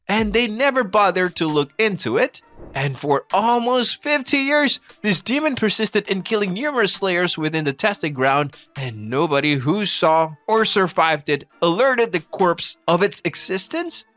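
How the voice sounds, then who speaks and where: A man's voice: high-pitched, sharp tone. A man reading scripted video essay narration with rhetorical, mock-exasperated delivery. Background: background music.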